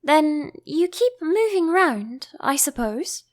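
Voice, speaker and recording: A curious young storybook girl, played by a woman, with a light, very high voice that rises and falls freely. She is polite, gentle and a little cautious. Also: big pitch swings, takes it slowly, exaggerated voice. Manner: gentle, earnest.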